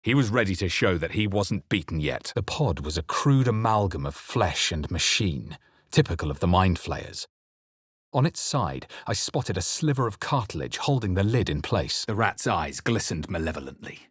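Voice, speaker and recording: A man playing a wary, grave fantasy adventurer in a video game, with deliberate, theatrical delivery. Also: sits low, very animated, slightly rough, dry recording, clean studio sound, full character voice. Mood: grave, dramatic. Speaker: video game player-character voice actor. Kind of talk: dramatic scripted game narration and voice lines.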